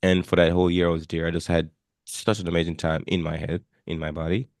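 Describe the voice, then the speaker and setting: Male podcast host, informal and animated, low register, close dry sound, conversational. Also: quick pace, no echo. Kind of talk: casual podcast chat.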